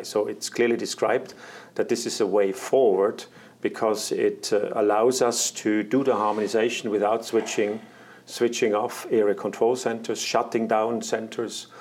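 A man in an interview, explaining air traffic plans as an executive, halting often with fillers and restarts. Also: fairly low pitch, darkish tone, husky. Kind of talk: interview answers.

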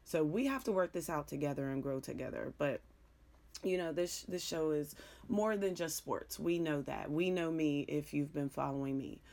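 A middle-aged woman hosting a sports show in casual, rambling talk, frank and joking, with a raspy, somewhat hoarse voice. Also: low voice, moderate pace, darkish tone.

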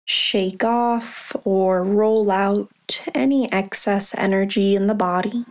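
A woman leading a guided meditation, speaking softly and calmly with long pauses. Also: medium pitch. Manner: slow, soft and calm, with long pauses.

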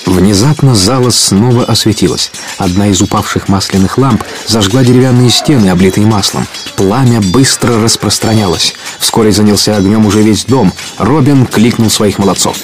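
A man's voice: fairly low pitch, moderate pace. A man narrating an adventure audio play as a storyteller, scripted and dramatic.

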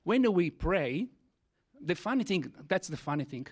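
A man with an Italian accent, his first language Italian, tells a church testimony in English. He talks in halting bursts, with many fillers and restarts. His voice sits a little high for a man. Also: big pitch swings, breathy edge. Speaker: church speaker giving testimony. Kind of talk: testimony sermon.

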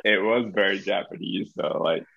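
A young man from Hong Kong, a podcast guest, talking in a relaxed, thoughtful way with lots of fillers. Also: low-pitched, normal speed, vocal fry, dry recording, muffled recording. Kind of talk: casual interview.

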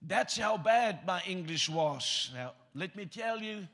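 A man's voice: sits high, lively intonation, slow, fairly bright tone. A man from South Africa whose first language is Afrikaans, preaching a sermon with joking asides and reading scripture aloud. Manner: joking, self-deprecating asides.

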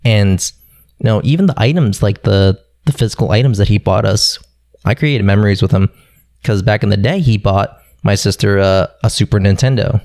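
A young man from Philadelphia, telling stories about growing up in a relaxed, rambling way with casual swearing. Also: on the low side, moderate pace, dry recording, studio quality. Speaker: guest. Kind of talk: casual conversation.

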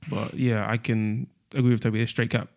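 A man in his twenties from London, co-hosting a podcast and speaking in a measured, matter-of-fact way. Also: medium pitch, flat delivery, creaky, darkish tone. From London, England. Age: 20s. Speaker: podcast co-host. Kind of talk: casual chat.

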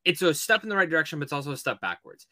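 Bright, forceful man on a podcast. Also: on the high side, big pitch swings, rapid-fire, no echo, studio quality. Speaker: podcast co-host. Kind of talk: casual movie discussion.

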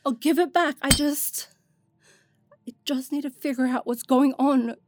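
A woman's voice: high voice, fairly even intonation, measured pace, background noise. A woman playing an anxious but determined, self-doubting young adventurer in an audio drama, speaking in a hesitant, plain way. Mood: anxious searching.

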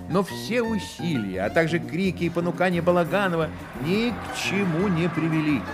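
A man's voice: on the high side, lightly acted. A man acting as the wry author-narrator of a satirical radio play, reading scripted narration. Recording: narration with music behind.

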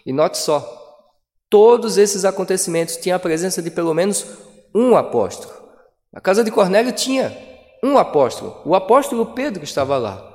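High-voiced male preacher in a reverberant hall. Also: sing-song, a little mellow. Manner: preaching with dramatic pauses and acted quotes. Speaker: pastor. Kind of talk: sermon.

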